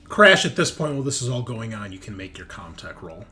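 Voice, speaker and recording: A man's voice: on the low side, very animated, fairly quick, sharp tone, slight background noise. A man running a tabletop roleplaying game as game master, improvising narration in a casual, joking way.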